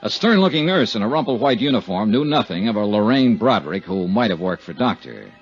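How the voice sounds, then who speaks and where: An actor playing a hard-boiled, dry, persistent insurance investigator in a 1950s radio detective drama, speaking in a clipped, wry, businesslike way. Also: male voice, on the low side, sing-song, fairly quick. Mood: dry narration. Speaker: lead and narrator. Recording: dull 1950s radio recording with music.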